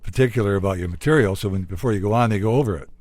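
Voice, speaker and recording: Lowish-voiced male interviewer, casual and jokey. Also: racing, a little mellow, dry recording, studio quality. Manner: jokey, prompting questions. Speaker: interviewer, podcast host.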